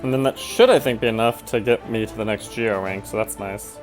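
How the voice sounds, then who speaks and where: A man's voice: fairly low pitch, fairly quick, faint music behind. A man streaming a video game with casual, wry, grumbling commentary.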